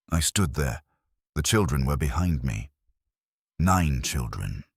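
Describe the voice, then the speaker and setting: A man reading a scripted dramatic story in the first person, solemn and measured, with clipped phrasing. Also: rumbling low, slightly rough, dry recording, clean studio sound. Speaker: narrator.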